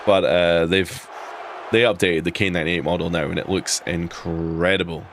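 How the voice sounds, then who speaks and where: Edinburgh Scottish man, deep voice, chatty game streamer, faint hiss behind. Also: steady tone, moderate pace. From Scotland. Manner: chatty, rambling, frequent restarts and laughs. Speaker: streamer playing a wrestling game. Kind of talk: casual live commentary on gameplay.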